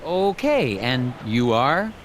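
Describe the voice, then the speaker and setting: An actor playing an earnest, awkward, polite government investigator in a video game, officious and slightly hesitant. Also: male voice, medium pitch, big pitch swings, slow pace, a little hiss or hum. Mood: polite, uncertain. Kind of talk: video game character dialogue and dictated reports.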